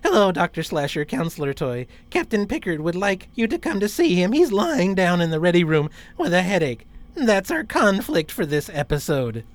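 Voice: medium pitch, big pitch swings, normal speed, lightly acted. An actor playing a chirpy, guileless junior ensign in a parody radio play. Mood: eager.

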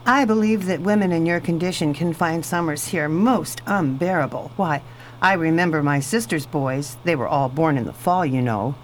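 An actress playing a posh, talkative superintendent's wife in a radio play, genteel and sure of herself. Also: female voice, sits low, normal speed, close to an everyday voice, rough recording. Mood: gracious. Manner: genteel, chatty, self-assured. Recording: faint background noise.

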